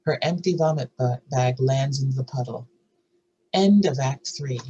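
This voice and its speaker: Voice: medium pitch, moderate pace, mellow, breathy edge, dull audio. A narrator reading screenplay stage directions and scene headings aloud at a scripted table read.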